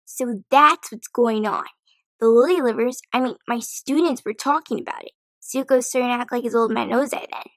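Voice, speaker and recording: Cocky, bossy young girl teacher played by a woman, high bright voice, blunt cartoon-style delivery. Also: normal speed, no echo. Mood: offhand. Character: brash, tough tomboy girl martial-arts teacher. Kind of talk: animated-style comic dub acting.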